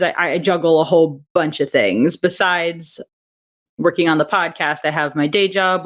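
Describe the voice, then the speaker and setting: A woman guest on a podcast, an audio drama creator, talking chattily with many fillers. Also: fairly low pitch. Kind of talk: casual interview chat.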